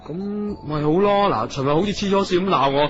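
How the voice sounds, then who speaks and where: A man's voice: a little high, fast, fairly bright tone, lightly acted. An actor playing a timid, anxious male performer in a comedic radio drama, hesitant and apologetic, with a Hong Kong Cantonese accent. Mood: nervous, grateful. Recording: slightly muffled radio sound with music.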